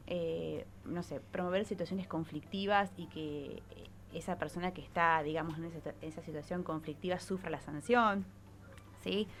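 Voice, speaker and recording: Lower-pitched Argentine woman explaining, noisy recording. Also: a bit bright. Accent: Argentine Spanish. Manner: didactic, often ending phrases with check-in tags. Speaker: psychologist, radio columnist. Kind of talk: explanatory radio talk. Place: radio studio. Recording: clearly noisy radio recording.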